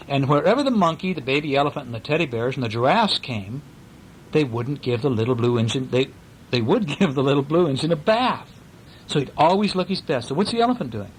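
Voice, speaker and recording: A man's voice: medium pitch, slight background noise, low-quality recording. A man reading a bedtime story to his young children in a casual, playful way, breaking off to ask questions and act out sound effects.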